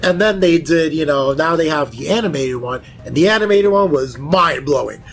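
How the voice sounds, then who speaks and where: A man chatting casually as a panelist and video game fan, animated and repeating phrases for emphasis. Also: fairly high pitch, moderate pace. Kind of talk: casual chat.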